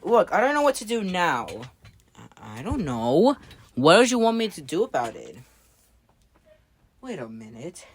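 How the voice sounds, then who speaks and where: A woman playing a laid-back, doubtful dude with a slangy, halting delivery in improvised amateur dialogue. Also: on the low side, very animated. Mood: puzzled.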